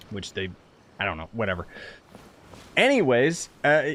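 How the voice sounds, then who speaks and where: A young man rambling casually about a game he is playing, laid-back and full of fillers. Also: mid-range voice. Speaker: gaming streamer. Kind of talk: casual commentary over gameplay.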